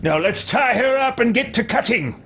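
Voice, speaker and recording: Man putting on a high, haughty, cruel elf. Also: animated, normal speed, a bit bright, exaggerated voice. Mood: menacing, sadistic. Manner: haughty, commanding, menacing. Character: cruel, imperious male elf who treats companions as servants. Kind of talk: improvised roleplay over a video game. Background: game music.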